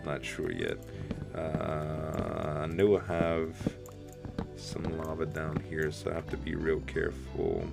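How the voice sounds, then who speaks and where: Deep-voiced man with a rough morning voice. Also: takes it slowly, music in the background. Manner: rambling, thinking aloud while building. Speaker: let's play host. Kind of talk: casual gameplay commentary.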